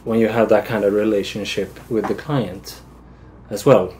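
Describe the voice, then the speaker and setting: A man from Sweden speaks as an interview guest in a somewhat low voice. He is thoughtful and agreeable as he talks through his ideas. Faint noise hums under the recording. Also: steady tone, moderate pace, a little mellow. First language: Swedish. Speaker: art director, guest. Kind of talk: casual interview answers.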